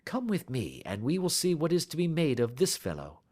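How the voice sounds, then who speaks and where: A man reading aloud and voicing a calm, clever Victorian detective who speaks blandly and courteously. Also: a little high, normal speed, voice pushed up. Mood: assured.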